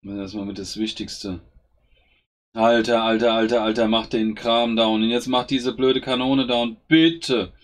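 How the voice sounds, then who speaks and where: A man's voice: mid-range voice, fairly even intonation, unhurried. A man giving casual gameplay commentary, grumbling and exasperated as he swears at his own units. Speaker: gaming YouTuber.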